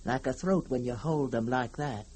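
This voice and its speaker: An actor playing a brooding, uneasy grown son in an old-time radio drama, hushed and halting, on a noisy old recording. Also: male voice, medium pitch, slightly rough, close to an everyday voice. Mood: ominous.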